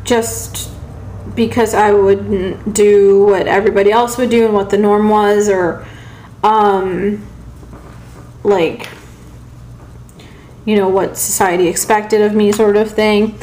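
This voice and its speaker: A young American woman talks casually to her camera in a small, echoey room. Her delivery is slow and halting, with a medium pitch and a rambling, hesitant way of finding her words. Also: little pitch movement, warm tone, a little hiss or hum. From South Carolina, United States, originally Pakistan. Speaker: vlogger. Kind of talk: casual personal vlog monologue. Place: small indoor room at home with shelves. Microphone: camera or phone at arm's length.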